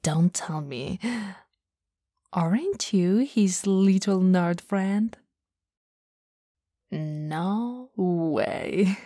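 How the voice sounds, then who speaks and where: A woman acting a friend's warm, teasing goth older sister in an intimate, soft-spoken ASMR roleplay, sometimes slipping toward a whisper. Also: mid-range voice, dry recording, clean studio sound. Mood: curious, pleased. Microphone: close studio microphone.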